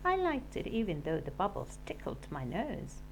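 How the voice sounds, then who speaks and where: A woman teacher reading a children's storybook aloud in an expressive, animated way. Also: low-pitched, normal speed, vocal fry, slight background noise.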